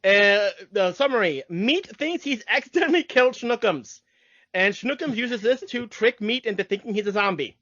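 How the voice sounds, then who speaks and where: High-pitched man, casual podcast talk. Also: animated, moderate pace, bright, forceful tone, no echo, highs cut off. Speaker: podcast co-host. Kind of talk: casual cartoon discussion.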